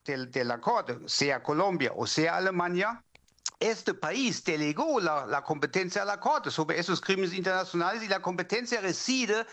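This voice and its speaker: A man with a fairly high voice and a German accent answers interview questions like an academic. He explains point by point in a second language, halting and repeating words as he looks for the right phrase. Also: animated, bright, forceful tone. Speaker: legal academic, interview guest.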